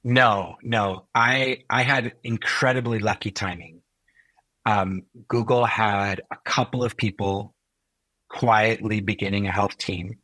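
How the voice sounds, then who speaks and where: A middle-aged man from Chile, a physician and technologist, thoughtfully recounting his career as an interview guest and often pausing to find words. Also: on the low side, steady tone, pushed and bright, no echo, poor audio. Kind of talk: reflective career storytelling.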